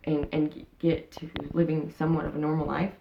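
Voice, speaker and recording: Low gravelly woman, frank and rambling. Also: level tone, fairly quick, dull audio, a little hiss or hum. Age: 30s. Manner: rambling, frank, with many fillers and restarts. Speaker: interviewee, channel host. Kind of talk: casual personal interview.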